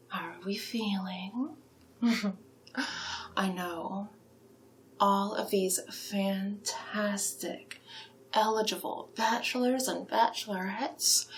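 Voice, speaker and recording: A woman in a soft-spoken ASMR roleplay as a friendly, flattering matchmaker, gently encouraging and often slipping into whispers. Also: mid-range voice, slight background noise. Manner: gentle, encouraging, salesy, unhurried with frequent whispered phrases. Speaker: ASMR roleplay performer playing a monster matchmaker.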